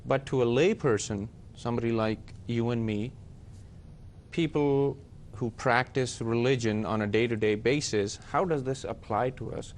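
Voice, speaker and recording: Man from Pakistan, panelist, deliberate phrasing, reflective discussion style, slight rumbly noise behind.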